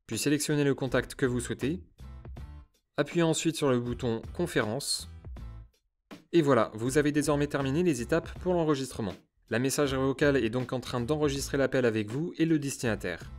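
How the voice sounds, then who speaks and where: A man's voice: mid-range voice, brisk. Man narrating a tutorial over music. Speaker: tutorial host. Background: background music.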